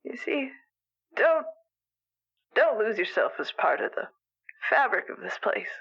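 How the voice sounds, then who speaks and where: A woman playing an elderly, gentle animal weaver in an improvised radio call-in drama, rambling haltingly through folksy, wistful advice. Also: on the high side, very animated, creaky, breathy edge, heavily acted. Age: older. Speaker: caller to a radio show. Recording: dull lo-fi radio call sound.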